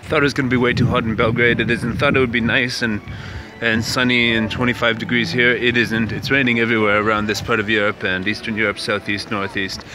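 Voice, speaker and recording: A man's voice: medium pitch, level tone, fairly quick, hardly any pauses, over music. A young man from Canada hosting a casual walking vlog, musing aloud with many fillers and restarts. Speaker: vlog host. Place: outdoors on city streets. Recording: outdoor street noise.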